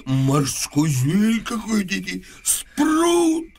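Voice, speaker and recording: An actor playing a drunken, maudlin old inventor who rambles and complains in a slurred, wailing, theatrical way in a radio drama. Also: male voice, high voice, huge pitch swings, extremely slow, breathy edge, full character voice. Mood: maudlin, bewildered.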